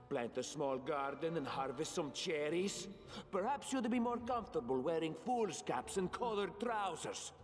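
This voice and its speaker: A male actor voicing a scornful, vile little gnome schemer in a video game, with a sarcastic, theatrical manner. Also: fairly high pitch. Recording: long reverb, music underneath.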